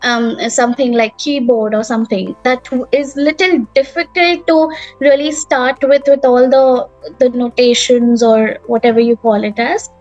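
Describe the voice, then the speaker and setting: Indian woman teacher, soft and high-pitched, hesitant answers, dull lo-fi call audio with faint music. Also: steady tone, normal speed, hardly any pauses. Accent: Indian English. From Mumbai, India. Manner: hesitant, many fillers and restarts. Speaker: guest, teacher. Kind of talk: casual interview answers. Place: remote video call.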